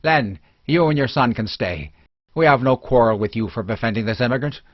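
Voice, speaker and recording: A man playing a hostile, threatening village mob man in a fantasy audiobook, forceful and stern. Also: medium pitch, a little fast, no echo, natural voice. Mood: stern, menacing. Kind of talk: dramatic fantasy audiobook dialogue. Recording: dull lo-fi recording.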